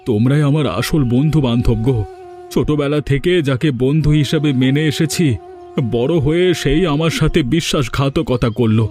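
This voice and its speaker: A man's voice: a little high, moderate pace, a little mellow, soft background music, lightly acted. A man voicing a plaintive, earnest village husband and father in a cartoon drama. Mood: grateful, earnest.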